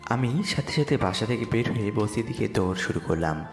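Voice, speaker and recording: A man's voice: on the low side, animated, a little fast. A young man narrating a dramatised story in the first person. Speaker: narrator and lead voice actor. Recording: echoey reverb with music behind.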